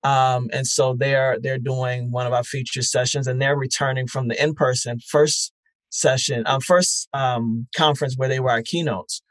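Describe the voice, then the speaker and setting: A man's voice: mid-range voice, steady tone, normal speed, dry recording. A man, founder of a podcast festival, answering interview questions in a reflective way with many fillers and restarts.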